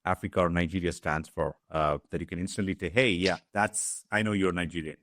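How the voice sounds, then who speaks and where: Deep-voiced male host, halting questions. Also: steady tone, fairly bright tone, dry recording, clean studio sound. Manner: halting, many fillers. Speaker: podcast host. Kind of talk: interview questions.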